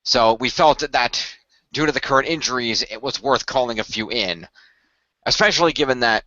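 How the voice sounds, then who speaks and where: A man playing a measured, formal leader of a hero team in an amateur radio play, wordy and hesitant. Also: mid-range voice, bright, forceful tone, dry recording, muffled recording, natural voice. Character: measured, formal male team leader briefing young demon-fighting heroes.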